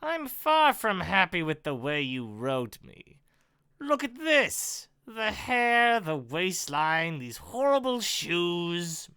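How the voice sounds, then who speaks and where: Someone voicing a slow, sneering gunman villain. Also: medium pitch, very animated, pushed and bright, clear, resonant tone, dry recording, full character voice. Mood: indignant. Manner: mocking, drawn-out taunts. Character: sneering, menacing gunman, pudgy, vain bully villain. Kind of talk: amateur script read-through.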